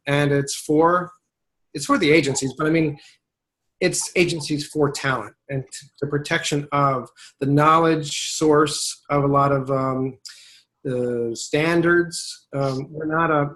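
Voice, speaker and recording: A man's voice: a little high, fairly bright tone. A man working as a voiceover agent, talking in a casual webinar conversation, thoughtful and rambling, often restarting his sentences.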